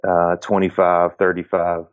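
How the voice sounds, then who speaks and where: Man, late 40s, low-ish voice. Earnest, reflective ex-athlete talking through advice; muffled lo-fi audio. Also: completely flat delivery, measured pace, smooth. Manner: earnest, repeats and restarts phrases, lists points. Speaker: former pro baseball pitcher, guest.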